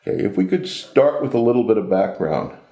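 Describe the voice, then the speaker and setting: A man's voice: on the low side, fairly quick, vocal fry, roomy sound. A man interviewing an engineer at his home, asking brief questions and prompting him along. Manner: brief prompts and steady acknowledgments. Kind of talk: oral history interview.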